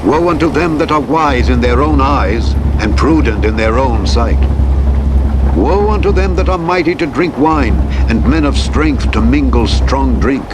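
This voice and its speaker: A man reading scripture as a narrator in a solemn, measured, declamatory manner. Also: mid-range voice, animated. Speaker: audiobook Bible narrator. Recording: engine and road noise over playback. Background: truck simulator vehicle noise.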